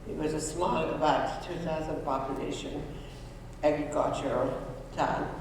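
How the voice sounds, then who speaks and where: A woman speaks slowly and earnestly, pausing and restarting her phrases. She is heard from a distance across a big, echoing school hall. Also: low voice, a little mellow, slight background noise. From Hungary. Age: 90s. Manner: earnest, halting, with frequent restarts. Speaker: Holocaust survivor speaking to students. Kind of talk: personal testimony talk. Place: school room or auditorium. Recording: echoey hall, voice from far off.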